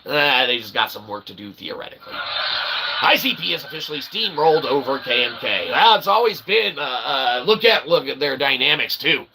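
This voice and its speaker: A man hosting a casual, rambling livestream, self-deprecating and excitable, speaking of himself as "we.". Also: medium pitch, brisk. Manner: refers to himself as "we". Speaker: livestream host. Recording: clearly noisy background ambience.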